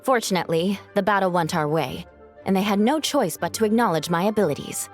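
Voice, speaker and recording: A woman acts out a young female knight captain from a video game, composed and proud. She speaks in measured, formal phrases at a medium pitch, with a dry, wry edge. Music plays behind her voice. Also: natural voice. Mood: composed, recounting. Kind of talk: video game character dialogue. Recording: studio voice over game music.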